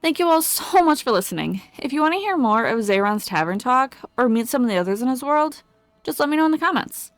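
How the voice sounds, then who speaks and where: A woman's voice: high voice, big pitch swings, fairly quick, no room sound, soft background music. A woman reading a scripted outro addressed to listeners. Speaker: series outro announcer.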